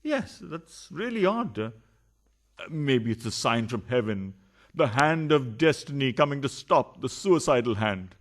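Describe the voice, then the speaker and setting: A man's voice: mid-range voice, very animated, measured pace, a little hiss or hum. A man acting a pompous, scheming adulterous politician husband in a farcical radio play, with a sarcastic, theatrical delivery. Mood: melodramatic.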